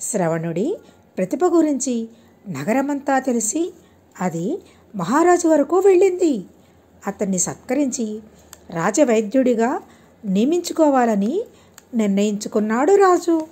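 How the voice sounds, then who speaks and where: A woman reading a scripted moral story aloud as an audiobook storyteller, in an expressive, measured way. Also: on the high side.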